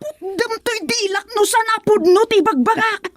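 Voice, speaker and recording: An actress playing an excitable, gossipy village woman in an Ilocano radio comedy, whining and exclaiming as she complains. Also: extremely high pitch, moderate pace, slight background noise. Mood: indignant. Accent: Filipino, Ilocano.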